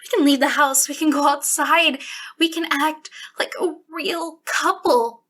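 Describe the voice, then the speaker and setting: Woman performing as unhinged yandere girl, high bright voice, sweetly menacing, breathy ASMR roleplay, lingering pauses, slipping into whispers. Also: fairly even intonation. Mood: giddy, possessive. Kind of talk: ASMR roleplay, intimate acted monologue.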